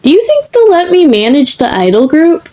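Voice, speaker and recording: A woman voicing an eager, earnest, excitable teenage boy in a fan fiction story. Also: very high-pitched, normal speed, pitched above the performer's own voice.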